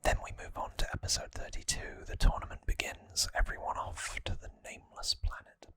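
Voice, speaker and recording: An ASMR host speaks in a breathy, unvoiced whisper with a bright, crisp edge. The delivery is soft and informal, like explaining a recap, recorded close to the mic with no room echo. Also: brisk. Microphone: close microphone, dry sound.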